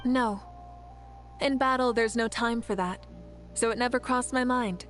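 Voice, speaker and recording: A woman's voice: on the high side, fairly even intonation, a little slow, fairly bright tone, faint music behind. A woman voicing a proud, composed and gracious warrior ruler in a video game, with stately, assured speech. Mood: reflective.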